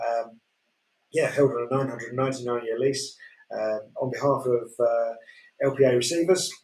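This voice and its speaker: A man with a Yorkshire accent presenting auction lots in a relaxed way, full of fillers. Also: mid-range voice, moderate pace. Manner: relaxed, many fillers, chuckles. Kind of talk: casual chat describing property lots. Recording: echoey room, distant mic.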